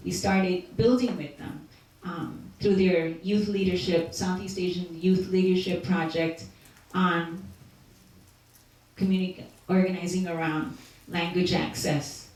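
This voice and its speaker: A woman's voice: fairly low pitch, a little mellow. A woman giving an informal talk to doctors about community health history, hesitant and full of fillers and restarts. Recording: very echoey hall audio from far off.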